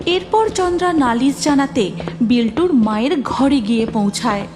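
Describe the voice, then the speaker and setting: A woman's voice: high voice, expressive, moderate pace, darkish tone. A woman narrating a scripted cartoon story as storyteller.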